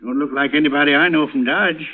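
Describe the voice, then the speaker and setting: A man's voice: a little high, fast talker, close to an everyday voice. Man acting a stammering old ranch hand. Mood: worried. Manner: stammering, fearful. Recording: tinny old radio recording with hiss.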